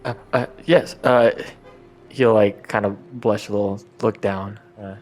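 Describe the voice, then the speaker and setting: A man speaking haltingly and casually as a player in an improvised tabletop roleplay. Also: on the low side, steady tone, a little mellow, soft background music. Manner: hesitant, halting.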